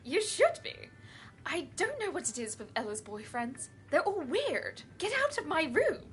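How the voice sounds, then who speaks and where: A woman voicing an affronted young female guest in a cartoon video game, shrill, curt and scolding. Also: wildly swooping pitch, normal speed, a little hiss or hum.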